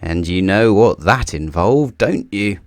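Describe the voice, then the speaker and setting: A man reading a children's storybook aloud in a theatrical, deliberate way, drawing out key words for emphasis. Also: low voice, expressive, no echo, studio quality. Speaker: children's book reader. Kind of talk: scripted storybook read-aloud.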